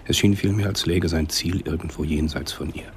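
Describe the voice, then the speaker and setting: A man's voice: a bass voice, steady tone, normal speed, slight background noise. A man reading scripted literary narration as the narrator of a radio drama.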